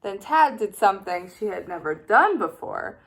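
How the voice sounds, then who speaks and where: A woman teacher reading a children's picture book aloud with expressive, playful storytelling. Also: mid-range voice, normal speed, background noise.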